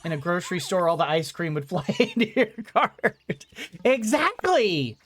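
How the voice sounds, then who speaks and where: Soft-spoken woman narrating her gameplay. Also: fairly low pitch, very animated, normal speed, soft background music. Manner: gentle, thinking aloud while playing. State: slightly congested throat. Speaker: gaming YouTuber. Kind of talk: let's-play commentary.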